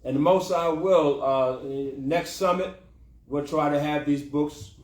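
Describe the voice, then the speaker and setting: Guy teaching a bible class, kinda high voice, repeats himself, echoey and off mic. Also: a little slow. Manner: repetitive, punctuating with "okay" and "huh". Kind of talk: informal scripture teaching. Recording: echoey room, distant mic.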